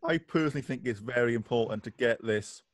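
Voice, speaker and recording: Brief: young male podcast host, mid pitch, earnest, dry close recording. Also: fast talker, no room sound. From Wales. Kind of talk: interview questions. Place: indoor room. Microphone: studio microphone on video call.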